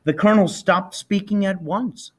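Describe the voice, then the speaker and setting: A man giving an expressive, deliberate read-aloud of a children's story. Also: sits high, husky. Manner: animated, deliberate. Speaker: storybook reader, teacher.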